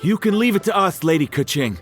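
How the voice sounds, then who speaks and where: A loyal, deferential male aide in an anime game. The voice is a little high with lively intonation. The delivery is courteous and caring, over faint music. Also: fairly quick. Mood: respectful, caring. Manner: courteous, respectful.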